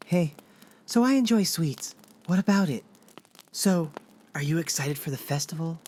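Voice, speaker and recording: A woman's voice: sits low, animated, moderate pace, warm tone, pitched below the performer's own voice. A woman doing a cheeky young satyr guy. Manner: cheeky, wheedling. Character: playful young male satyr with a sweet tooth.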